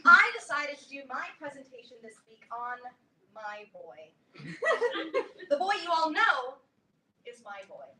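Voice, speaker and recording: A woman playing a domineering, self-important teenage girl in a staged play reading, speaking in a theatrical, pompous, deliberate way. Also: high-pitched, big pitch swings. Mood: grandly adoring. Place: live reading in a roomy indoor space. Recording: distant echoey room, clear background noise.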